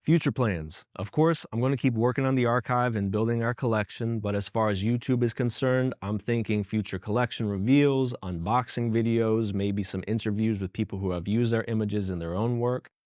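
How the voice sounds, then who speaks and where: A young man from the United States narrates in an earnest, conversational way, with casual asides. His voice sits low. The recording is dry and close, with no room sound. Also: normal speed, few pauses, a little mellow, dry recording. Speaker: archive founder and host. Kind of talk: scripted voice-over narration.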